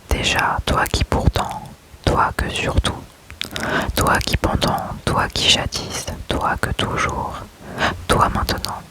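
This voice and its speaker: Slow whispering man reading poems. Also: poor audio. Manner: slow, soft unvoiced whisper throughout. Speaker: ASMR creator. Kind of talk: whispered ASMR poetry reading.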